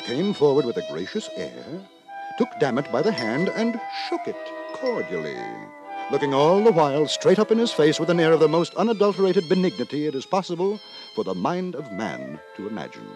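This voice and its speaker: An actor playing a prim, moralising gentleman who narrates in wordy, formal, mock-solemn 1950s radio drama style. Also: on the high side, very animated, fairly quick. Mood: wry narration. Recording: 1950s radio, music behind.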